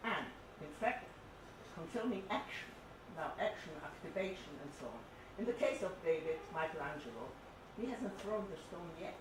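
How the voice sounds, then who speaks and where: An older woman speaking from the audience, making a discursive, halting academic comment in an explanatory way. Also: lowish voice, expressive. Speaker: audience member commenting on art. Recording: echoey, noisy hall, far from microphone.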